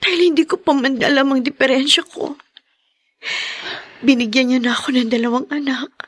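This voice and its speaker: Long-suffering young wife for radio drama; high, tearful, pleading. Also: female voice, steady tone, normal speed. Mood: sorrowful. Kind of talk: 1980s radio drama acting.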